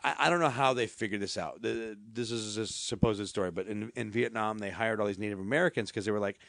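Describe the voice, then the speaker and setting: Gravelly-voiced man telling meandering stories in loose podcast banter. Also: fairly low pitch, quick pace, dry recording. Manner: rambling, animated storytelling with many restarts. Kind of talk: casual podcast chat.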